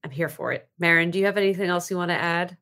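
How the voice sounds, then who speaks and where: Woman moderating a panel, kinda low pitched, chatty and informal. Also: fast, bright, forceful tone. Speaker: host moderating a virtual panel.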